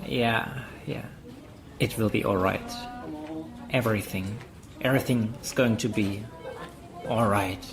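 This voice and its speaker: An actor playing a shaken man who hesitantly consoles someone in an audio drama, halting and repeating himself. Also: fairly low pitch, steady tone, vocal fry, over music, low-quality recording. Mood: shaken, resigned.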